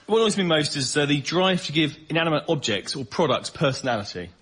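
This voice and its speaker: A man's voice: fairly high pitch, sing-song, fast talker, a bit bright. A man riffing comically as a panel show guest, with an incredulous, mock-exasperated way of ranting. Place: radio panel show before a live audience. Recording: noisy live recording with audience.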